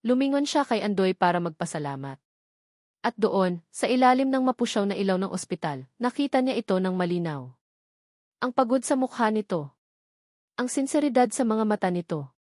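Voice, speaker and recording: A woman's voice: mid-range voice, animated, normal speed, a little mellow, dry recording, studio quality. A woman with a Filipino accent reading a scripted, dramatic love-story narration.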